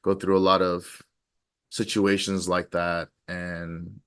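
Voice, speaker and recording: Man with a very deep voice, relaxed and reflective. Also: slow pace, smooth. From New Jersey, USA. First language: Korean. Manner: relaxed, reflective, trails off often with fillers. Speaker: podcast co-host. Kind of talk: casual conversation with his wife.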